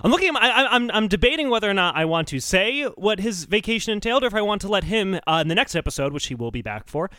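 A man with a bright, fairly high voice hosts a comedy podcast. His delivery swings widely in pitch as he chats casually, moving between jokey and mock-serious. The sound is close and dry. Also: big pitch swings, quick pace, hardly any pauses, dry recording. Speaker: podcast host.

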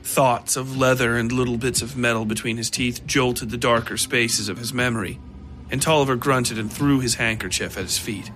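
A man narrating a dark fiction story in a deliberate, theatrical way. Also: medium pitch, steady tone, slight background noise. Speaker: narrator of a horror fiction podcast. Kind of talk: scripted dramatic story narration. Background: music behind the voice.

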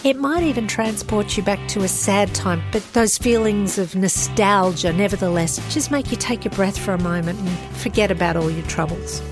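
A woman's voice: medium pitch, normal speed, few pauses, music in the background. A middle-aged Australian woman hosting a podcast and interviewing, chatty and upbeat. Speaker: host and interviewer.

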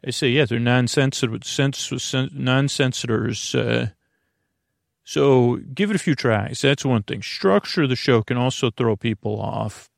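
Creaky gravelly guy doing a rambly bedtime podcast, mumbles and trips over words, very dry close sound. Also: mid-range voice, a little slow, no echo. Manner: meandering, mumbling, stumbling, self-deprecating, with tangents and mixed-up words. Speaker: podcast host. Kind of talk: rambling, conversational bedtime sleep podcast monologue.

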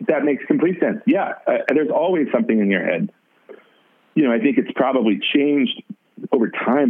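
Raspy man, musician guest, halting delivery with lots of restarts; tinny phone-line sound. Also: mid-range voice. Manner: halting, full of restarts and fillers. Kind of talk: casual interview talk.

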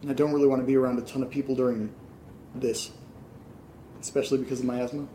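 A man's voice: medium pitch, little pitch movement, quick pace, mellow, a little hiss or hum, lightly acted. A man acting an anxious, mopey, sardonic young man in a naturalistic audio drama, speaking hesitantly. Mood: downcast, uneasy.

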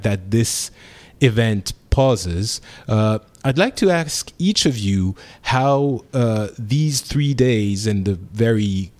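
A man's voice: fairly low pitch, a little mellow. A man with a French accent hosting a casual group discussion, hesitant with many fillers and restarts. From France. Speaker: podcast host.

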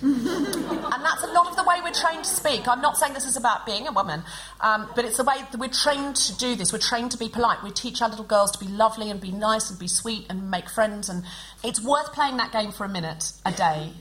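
A woman's voice: fairly high pitch, brisk, a bit bright. A woman hosting a comedy podcast before a live audience, chatty and self-mocking.

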